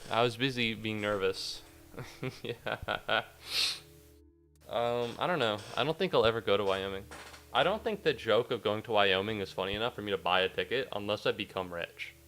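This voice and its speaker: A young man from the United States talks to his livestream chat in a rambling, self-mocking way while gaming. His voice sits fairly low and still sounds a little sniffly from a recent cold. Faint game music plays quietly behind him. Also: moderate pace. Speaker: game streamer.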